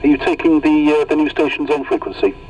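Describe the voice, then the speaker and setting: A man's voice: fairly high pitch, fast, dull audio. A man working as a CB radio operator, chatting casually over the air. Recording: noisy CB radio audio, distant mic.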